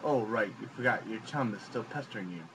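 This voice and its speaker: A man's voice: lowish voice, animated, fairly quick, fairly bright tone, a little hiss or hum, poor audio. A man reading scripted narration in a wry, theatrical storytelling way on an amateur home recording. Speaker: narrator reading a webcomic aloud.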